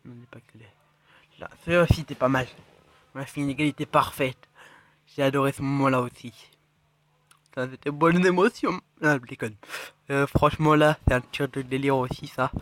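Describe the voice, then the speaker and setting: A man with a cold giving rambling, excitable commentary on his mobile game matches and chest openings. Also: mid-range voice, brisk. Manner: rambling, excitable, self-correcting, drops to mutters. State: has a cold. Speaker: mobile game channel host. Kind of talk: casual gameplay commentary.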